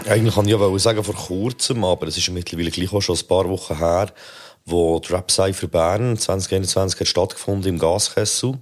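A man with a Swiss German accent hosting a podcast, reviewing rap performances in a relaxed, critical way with many fillers. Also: sits low, brisk, faint music behind. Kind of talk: casual spoken review.

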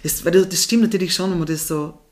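A woman interviewing a guest casually, attentive and quick to affirm. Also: on the low side, steady tone, a little mellow. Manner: attentive, many affirming murmurs.

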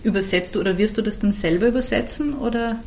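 A woman co-hosting a podcast who calmly steers the interview with guiding questions. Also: mid-range voice, fast, a little hiss or hum. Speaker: podcast co-host. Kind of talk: casual interview.

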